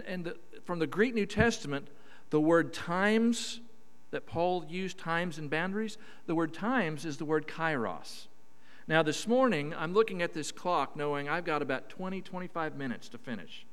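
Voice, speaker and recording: A middle-aged man with a Southern drawl from Oklahoma preaches a sermon in a folksy, emphatic way, stretching his vowels. His voice is smooth and resonant. It rings out with a long reverb through a church hall. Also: a little high, fairly bright tone. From Oklahoma, USA. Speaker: guest preacher. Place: church sanctuary.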